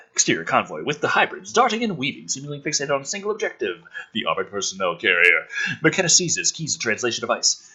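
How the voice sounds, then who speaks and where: Man, very sharp forceful voice, hugely animated pitch, dramatic script reading, sarcastic asides, swearing. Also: fast talker, husky, low-quality recording. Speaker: host reading a film script aloud with commentary.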